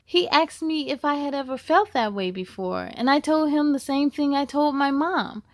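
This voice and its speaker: Lady doing a kids storytime, high voice, encouraging, talks right to the kids, dry close sound. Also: normal speed, no room sound. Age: adult. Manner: encouraging, addresses listeners directly. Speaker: host of a children's read-aloud show. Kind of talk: storybook read-aloud with chatty asides to young viewers.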